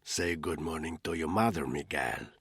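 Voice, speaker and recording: A male narrator voicing a menacing middle-aged cartel boss who talks in a cold, hoarse near-whisper. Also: very deep voice, moderate pace, no echo, studio quality, full character voice. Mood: cold menace. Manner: cold, quiet, deadpan threats.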